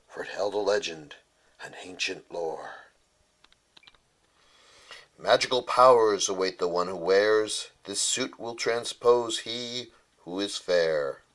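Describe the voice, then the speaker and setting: A man's voice: on the low side, fairly bright tone. A man narrating a rhyming children's bedtime story as its author.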